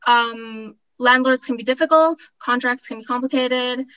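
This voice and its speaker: A woman's voice: a little high, moderate pace, bright, forceful tone, clean tone, muffled recording. A woman in her twenties from Costa Rica, a graduate student giving an informal, enthusiastic talk at an information session. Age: 20s. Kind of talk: informal testimonial talk at an online info session.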